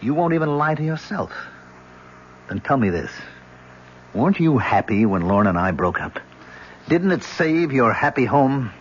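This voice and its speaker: An American actor playing an embittered, defiant young convict in an old radio drama, with a sarcastic, mocking delivery. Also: male voice, medium pitch, big pitch swings, normal speed, pauses often, close to an everyday voice. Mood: bitter accusation. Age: young adult. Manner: sarcastic, sneering. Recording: muffled, faintly hissy old radio recording.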